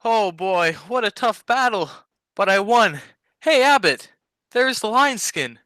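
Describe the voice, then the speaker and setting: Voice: medium pitch, expressive, unhurried, bright, forceful tone, dry recording. An actor playing a hapless, boastful, childlike man in a 1940s-style radio comedy, exclaiming and whining.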